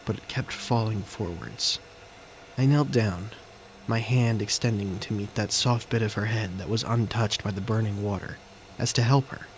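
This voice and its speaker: Male narrator, first-person thriller drama, lowish voice, measured dramatic phrases, slight background noise. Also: low-quality recording. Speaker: narrator and character of an audio story. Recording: faint background noise and ambience.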